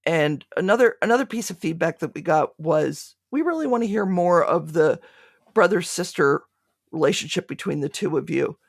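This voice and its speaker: A woman's voice: fairly low pitch, expressive, moderate pace, creaky, dry recording, clean studio sound. A woman being interviewed, speaking reflectively and conversationally, often restarting her phrases mid-thought. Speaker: podcast creator being interviewed.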